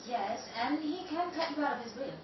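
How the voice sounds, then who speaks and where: A woman's voice: high voice, expressive, a little fast, very echoey, distant mic, muffled recording, noisy background. An actress playing a scheming, defensive married woman who stammers evasively, in a 1930s-style radio drama. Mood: guarded.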